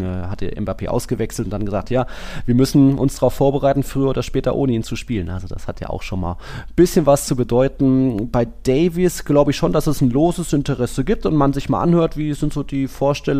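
A man chatting on a football podcast in a mellow, dark tone with a gravelly edge. He rambles and corrects himself mid-sentence, filling gaps with little hesitation sounds. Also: mid-range voice. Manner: rambling, self-correcting analysis with many fillers. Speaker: podcast co-host. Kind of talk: casual football podcast chat.

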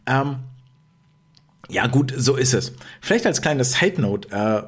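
A man's voice: mid-range voice, very animated, slow, breathy edge. Chatty man hosting a swim podcast. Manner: chatty, many fillers and restarts. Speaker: podcast host. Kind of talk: casual podcast commentary.

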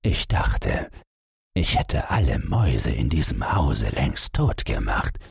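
A man's voice: a bass voice, big pitch swings, takes it slowly, creaky, husky, no echo, studio quality, voice pushed down, full character voice. A man voicing a wicked old female cat who speaks with smug menace. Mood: menacing.

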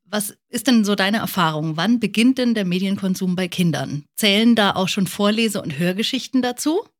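A woman's voice: medium pitch, lively intonation, normal speed. A woman presenting and interviewing as a podcast host.

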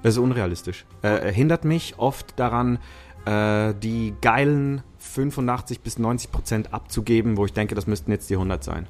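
A man from Switzerland, a musician chatting as a podcast guest, lively and talkative with many fillers. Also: fairly low pitch, moderate pace, soft background music. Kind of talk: casual chat.

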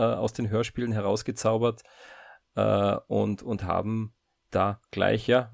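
A man co-hosting a podcast while sick and slightly hoarse, joking and rambling casually. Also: mid-range voice, darkish tone, no echo, studio quality. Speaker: podcast co-host.

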